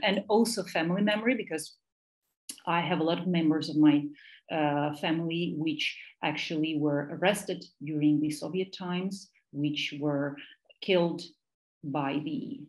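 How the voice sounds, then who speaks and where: A woman from Russia with a Russian accent, a native Russian speaker, giving an academic presentation in a halting way, often searching for words and repeating them. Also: sits low, fairly even intonation, poor audio. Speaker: academic researcher and lecturer.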